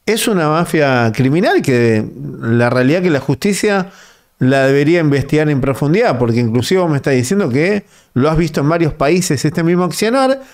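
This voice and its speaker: A man with a Rioplatense Spanish accent interviewing a guest, blunt and pressing for plain answers. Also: on the high side. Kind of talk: conversational interview.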